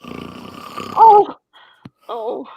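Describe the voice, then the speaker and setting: An actress playing a brisk, flustered woman in charge of a parade in a radio play. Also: high voice, huge pitch swings, gravelly, warm tone, breathy edge, exaggerated voice. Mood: pleading. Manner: bossy, flustered, pleading. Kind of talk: 1940s-style radio play acting.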